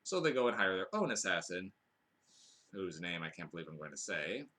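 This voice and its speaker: A man's voice: low-pitched, very animated, brisk, bright, forceful tone. A man hosting a podcast, giving a casual, rambling film review full of fillers and restarts, with dry asides.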